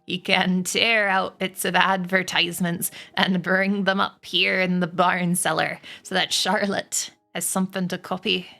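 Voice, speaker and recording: An elderly storybook sheep, acted out by a woman. The elder is shrewd and persuasive, speaking with measured, unhurried authority to the barnyard. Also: fairly low pitch, little pitch movement, gravelly, bright, forceful tone, exaggerated voice. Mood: matter-of-fact, scheming.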